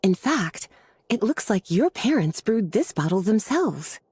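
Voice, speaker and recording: A woman playing a gentle, teasing young witch and teacher in a video game, soft-voiced and nurturing. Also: mid-range voice, very animated, measured pace, slightly rough, heavily acted. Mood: intrigued. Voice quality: velvety, soft. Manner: nurturing, coquettish, leisurely explanatory. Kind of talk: video game character acting.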